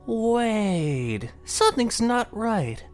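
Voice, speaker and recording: Woman voicing a mischievous male jester, sing-song and teasing, wildly swooping pitch, soft music underneath. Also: very animated, extremely slow, heavily acted. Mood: theatrical. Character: impish male jester, playful and wicked.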